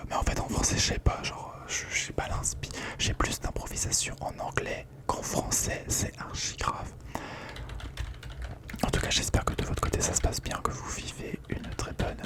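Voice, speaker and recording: A young man whispering a rambling ASMR chat from home, slangy and full of fillers, close to the microphone. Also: moderate pace. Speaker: ASMR creator.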